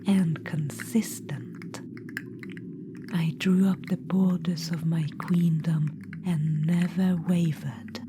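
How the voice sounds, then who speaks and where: A woman voicing a vain undead witch-queen necromancer in an ASMR roleplay, whispering softly and calling the listener darling. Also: on the low side, slow pace. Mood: smug whisper. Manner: soft intimate whisper, calls listener darling; smug, boastful, self-satisfied. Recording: whisper with steady background noise.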